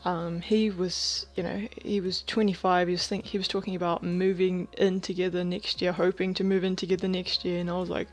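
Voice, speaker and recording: A woman in her twenties from Thailand, talking candidly in a personal video diary, rambling with lots of fillers and soft laughs. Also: on the low side, little pitch movement, fairly quick, hardly any pauses. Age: 20s. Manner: drops to a whisper about housemates. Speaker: vlogger.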